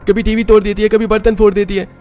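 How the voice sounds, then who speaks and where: A man's voice: high voice, flat delivery, quick pace, a little mellow, faint music behind, voice pushed up, natural voice. A man voicing an aggrieved young married son in a cartoon family drama, speaking emphatically. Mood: irritated, accusing. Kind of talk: cartoon story dialogue.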